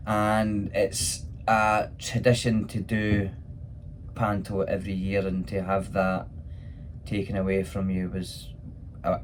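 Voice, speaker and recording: Casting: Scottish male actor, deep voice, casual and enthusiastic Q&A chat, noticeable background noise. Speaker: pantomime actor. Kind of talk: casual spoken question-and-answer for children.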